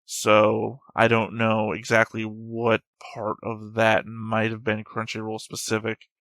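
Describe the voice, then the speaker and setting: A man's voice: on the low side, completely flat delivery, takes it slowly, a bit bright, dry recording. Rambling man reacting casually, close dry sound. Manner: rambling, thinking aloud. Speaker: host of an anime reaction channel.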